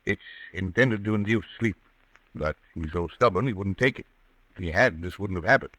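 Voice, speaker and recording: Tense 1940s radio mystery character: sharp tone, dramatic, emphatic, dry studio sound. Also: male voice, sits low, moderate pace, no room sound, rough recording. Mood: testy. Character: tense, forceful man in a murder-mystery showdown.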